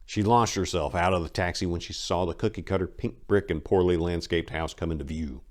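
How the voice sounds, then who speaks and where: A man's voice: low-pitched, a little fast. A man hosting and reading a scripted story as dramatic narration, with theatrical emphasis. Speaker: host and narrator.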